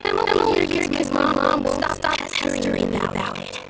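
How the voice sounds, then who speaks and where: A woman's voice: a bass voice, completely flat delivery, normal speed, creaky, exaggerated voice. Whiny, stammering little boy. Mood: sulky. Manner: hesitant, stammering, pleading. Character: whiny, anxious sick young boy. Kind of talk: video game voice acting. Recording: loud music behind the voice.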